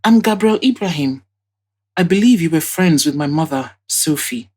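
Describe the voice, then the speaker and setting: A woman voicing a composed, polite young woman in an audio drama, with an earnest, measured manner. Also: sits low, animated, a little mellow, breathy edge.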